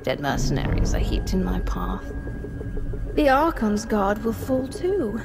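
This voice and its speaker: A woman's voice: medium pitch, sing-song, measured pace, vocal fry. An actress playing a ruthless, bloodthirsty female Sith warrior in a video game, speaking in a haughty, grandiose, commanding way. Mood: menacing, proud. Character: ruthless, bloodthirsty female dark-side Sith warrior, member of ruling council. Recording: game audio under loud music. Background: orchestral game music.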